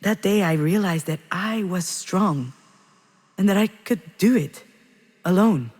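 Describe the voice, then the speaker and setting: An actress playing a grieving, reflective screenwriter who confides her story in measured, intimate first-person narration for a video game. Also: fairly low pitch, darkish tone. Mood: quietly resolved. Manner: measured, introspective, pausing between phrases. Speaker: narrator of a visual novel.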